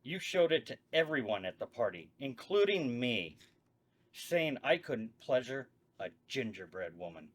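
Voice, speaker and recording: A man's voice: medium pitch, very animated, unhurried. A man voicing a petty, vengeful Santa Claus at a script table read, blustering and indignant.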